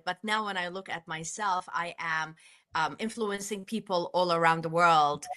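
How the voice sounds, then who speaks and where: A woman from Jordan telling her personal story as an interview guest, speaking in an earnest, deliberate way. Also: mid-range voice, pushed and bright.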